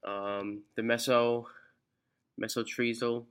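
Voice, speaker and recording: A congested man reading a study outline aloud, halting and restarting on technical words. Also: mid-range voice, little pitch movement, smooth. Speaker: student presenter.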